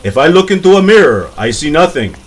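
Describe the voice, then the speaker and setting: Theatrical comedy vampire played by a man, genial and jokey, slightly high-pitched, radio interview skit. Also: very animated, fast talker, breathy edge, poor audio. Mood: wry storytelling. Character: genial joking vampire, Dracula, giving an interview.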